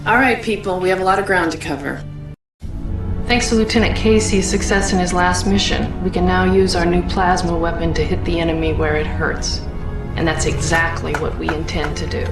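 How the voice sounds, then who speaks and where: Woman acting a stern, lowish-voiced female fleet commander. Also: echoey room, far from the mic, lightly acted. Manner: authoritative, firm. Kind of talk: video game cutscene acting.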